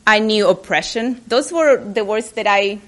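A woman's voice: medium pitch, unhurried, a bit bright. Nervous chatty woman. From Chile. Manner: nervous, chatty, self-mocking, with restarts. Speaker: keynote speaker. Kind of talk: personal speech to an audience. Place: public library event.

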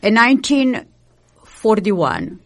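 An elderly woman from Poland, a native Yiddish speaker with a Polish Yiddish accent, giving personal testimony in deliberate, halting phrases. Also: on the high side, wildly swooping pitch. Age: 70s or older. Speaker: Holocaust survivor giving testimony.

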